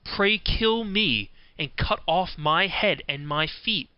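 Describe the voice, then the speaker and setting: A man voicing a wise, helpful storybook fox who gives measured, instructive counsel. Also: high-pitched, expressive, clean tone, dry recording, muffled recording. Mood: earnest pleading.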